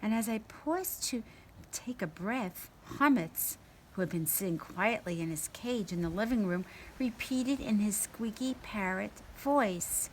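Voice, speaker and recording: A woman reading a children's story aloud in an expressive manner, acting out the dialogue. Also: mid-range voice, a little slow, slight background noise, low-quality recording. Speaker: story reader.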